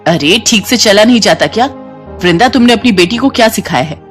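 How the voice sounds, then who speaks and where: A woman acts out a rich, haughty housewife in a children's moral story. She is curt, bossy and quick to scold, snapping out orders impatiently. Music plays behind the voice. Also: medium pitch, expressive, natural voice.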